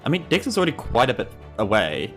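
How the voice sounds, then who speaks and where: A woman's voice: extremely low pitch, huge pitch swings, quick pace. A woman with a New Zealand accent, joking casually in slangy talk as a tabletop game player. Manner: jokey, slangy. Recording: background music under speech. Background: music.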